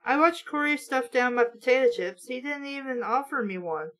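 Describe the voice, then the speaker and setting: A woman's voice: high-pitched, expressive, moderate pace, a bit bright. A woman reading a story aloud and breaking in with sarcastic, exasperated, sweary commentary as host of a mock book show.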